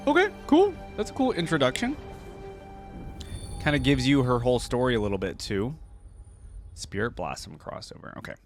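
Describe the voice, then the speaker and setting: Animated man doing casual reaction commentary. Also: mid-range voice, normal speed, pauses often, soft background music. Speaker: reaction video host.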